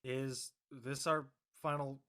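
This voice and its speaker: A man's voice: medium pitch, flat delivery, takes it slowly, pushed and bright. A man playing an easygoing college-bound young Californian in casual scripted dialogue. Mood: casual, friendly.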